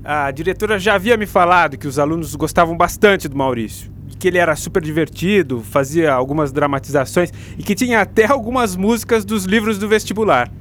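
A man's voice: sits high, fairly quick, hardly any pauses, fairly bright tone, a little hiss or hum. A man playing a patient, engaging literature teacher in a Brazilian radio drama, coaxing and explaining as he goes. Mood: friendly, firm. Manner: didactic, coaxing. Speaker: actor.

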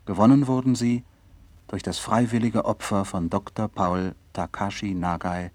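An actor in a 1960s radio drama playing a serious, respectful doctor, with a low husky voice and a measured delivery. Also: male voice, fairly even intonation, darkish tone, no room sound, natural voice. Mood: solemn, reverent. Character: concerned, respectful male doctor and visitor, also recounting events solemnly.

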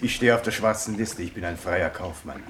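An actor playing a wry smuggler who calls himself a free merchant, in a matter-of-fact radio drama style. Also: male voice, deep voice, fairly quick, a bit bright. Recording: hissy 1970s radio play recording.

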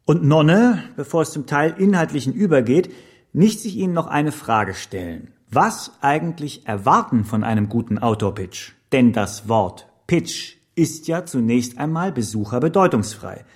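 An actor playing an earnest pitch trainer who lectures didactically and tells motivational parables with rhetorical questions. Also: male voice, lively intonation, normal speed, breathy edge. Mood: lecturing. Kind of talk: seminar presentation and storytelling.

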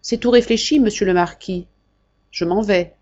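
A woman's voice: mid-range voice, moderate pace, a little mellow, highs cut off, voice pushed up, close to an everyday voice. A woman reading an audiobook, voicing a devoted young man who speaks earnestly and firmly for his brother. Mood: pleading, firm. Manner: earnest, pleading yet firm.

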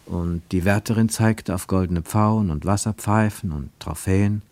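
A man's voice: deep voice, normal speed, darkish tone, dry recording. A man reading a literary story as a scripted narration.

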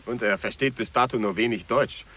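A man playing a reserved, courteous gentleman in a German radio play, speaking in formal, polite phrases. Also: mid-range voice, brisk. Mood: smooth, assuring. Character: reserved, courteous gentleman stranger, smooth-talking uncle. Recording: distant, hissy old radio tape.

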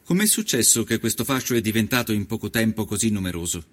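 A man's voice: fairly low pitch, lively intonation, fast. An actor playing an observant nineteenth-century journalist, reading his travel diary as scripted narration in speech. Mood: inquiring. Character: male journalist of the 1890s, observant, admiring traveller. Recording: music behind the voice. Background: music.

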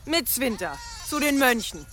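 An actress playing a defiant, sardonic village healer, mocking and sure of herself, in a radio drama. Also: female voice, on the high side, very animated, a little slow, a bit bright.

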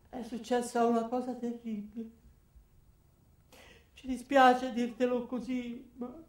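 An actor playing a distraught bearer of bad news, halting and drawing out the words in a comic radio drama. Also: mid-range voice, vocal fry, darkish tone, roomy sound.

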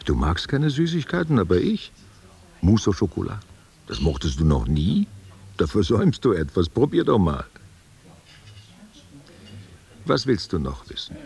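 A man's voice: low voice, huge pitch swings, normal speed, vocal fry, darkish tone, slightly rough, full character voice. An actor playing an aging, wistful stage actor who tenderly courts a younger woman, musing and questioning in an intimate radio play. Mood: playful, coaxing. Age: older.

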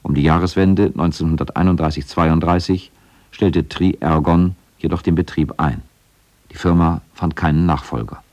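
A male documentary narrator reads a scripted radio feature in a low, warm voice. His is measured and factual, with a relaxed, dark timbre.

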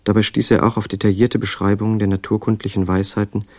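An actor playing a literary narrator, telling a strange story in measured scripted radio drama style. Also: male voice, on the low side, little pitch movement, no room sound, studio quality.

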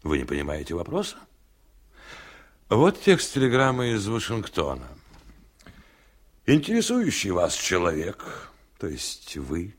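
An actor in a radio play playing a relentless reporter, questioning in a deliberate, insistent, cutting way. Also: male voice, on the low side, heavily acted. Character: relentless, pointed reporter pressing a politician.

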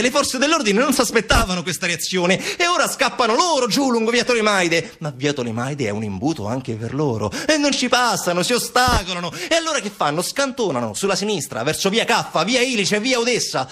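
A man performing a theatrical documentary monologue, swinging between quiet clipped phrases and urgent, insistent delivery. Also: sits high. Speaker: stage actor performing a solo narrative monologue.

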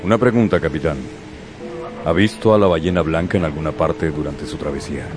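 An actor playing a grim, obsessed whaling captain in a radio drama, solemn and commanding, bent on vengeance. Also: male voice, a bass voice, a little fast. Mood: stern, probing. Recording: radio drama with music behind.

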